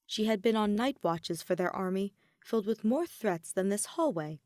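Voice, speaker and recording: A woman narrating a fan fiction story in an even, measured storytelling manner. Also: mid-range voice, dry recording, clean studio sound. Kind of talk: audiobook narration.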